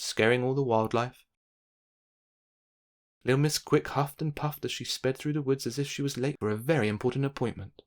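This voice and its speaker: A man narrating a children's bedtime story in an expressive, measured read-aloud style. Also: mid-range voice.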